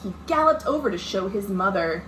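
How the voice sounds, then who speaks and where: A woman's voice: mid-range voice, animated, a little fast, fairly bright tone. A woman, a children's librarian, reads a picture book aloud with dramatic emphasis, speaking directly to young listeners. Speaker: children's librarian hosting storytime. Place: indoors at home. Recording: noisy amateur home recording.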